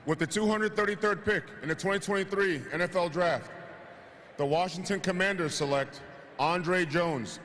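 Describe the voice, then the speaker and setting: Man, formal pick announcer, high voice, long hall reverb, event ambience behind. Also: normal speed, fairly bright tone. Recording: echoey hall, noisy event relayed through broadcast.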